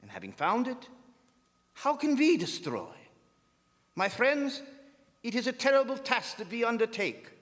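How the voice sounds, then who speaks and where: A solemn vampire hunter acted by a man commands and warns in a high-pitched, theatrical voice. The oratorical radio-play delivery echoes through a big hall with long reverb. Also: very animated, unhurried, pauses often, fairly bright tone, heavily acted. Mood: solemn warning. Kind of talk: theatrical radio drama acting. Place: performing arts center stage.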